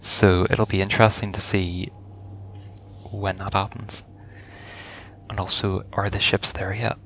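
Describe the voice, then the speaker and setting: A man's voice: sits low, level tone, unhurried, a bit bright, a little hiss or hum. A man giving casual first-reaction commentary on a video game, rambling and thinking aloud as he goes. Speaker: gaming video host.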